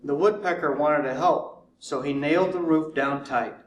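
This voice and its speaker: A man narrating a children's storybook in a deliberate way, drawing words out for effect. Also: a little high, lo-fi sound. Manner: deliberate, drawn-out repetition for effect. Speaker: fire chief reading to children. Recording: roomy echo, distant mic, faint noise.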